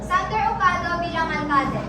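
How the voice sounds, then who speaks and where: A woman's voice: extremely high pitch, normal speed. A woman reading out scripted narration as announcer for a school stage play. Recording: echoey, distant, loud music over voice.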